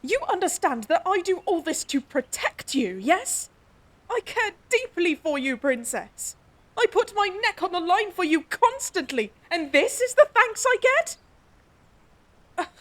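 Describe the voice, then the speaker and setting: A prim, overprotective guardian from a fantasy audio drama. She scolds in a very high voice that swings widely in pitch, taking her time over each reproach. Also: big pitch swings, measured pace, a bit bright, heavily acted. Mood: scolding. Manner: scolding, indignant, clipped. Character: stern protective female guardian, strict and fussy. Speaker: princess's guardian.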